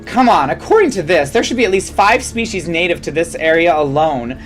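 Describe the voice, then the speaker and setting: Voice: mid-range voice, animated, a little fast, lightly acted. Reader doing a sassy jumpy woman, indignant and exclaiming, story reading w music under. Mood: startled. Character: sassy, easily startled woman trainer refusing to admit fright.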